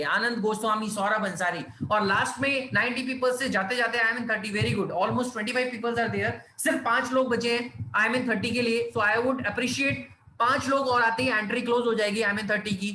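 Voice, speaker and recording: A man delivers a persuasive sales pitch, his voice pitched high and bright. He repeats key phrases and fills pauses with "uh". The room sounds echoey, with a faint hum of ambience behind him. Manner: persuasive, repetitive, many fillers, reads out names. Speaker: presenter of an online business opportunity session. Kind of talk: live online sales presentation in Hindi-English mix.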